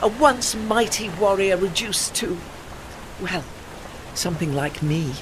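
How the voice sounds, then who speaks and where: An actor voicing an old, learned, wistful retired warship with a formal, articulate, British way of speaking in an audio play. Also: male voice, high-pitched, lively intonation, takes it slowly, noisy background. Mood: proud, wistful. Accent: British English. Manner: formal, articulate, pedantic, apologetic. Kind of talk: audio drama dialogue.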